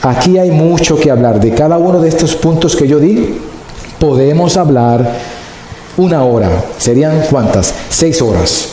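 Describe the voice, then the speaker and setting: Slow deliberate preacher guy, kinda high and mellow voice, big echoey church. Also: expressive. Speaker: pastor. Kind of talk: sermon. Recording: very echoey hall, faint noise.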